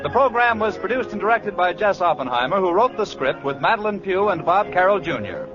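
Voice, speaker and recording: Male announcer, vintage radio style, high voice, formal scripted read, music underneath, dull lo-fi broadcast. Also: big pitch swings, a little fast. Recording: dull old radio recording, music behind.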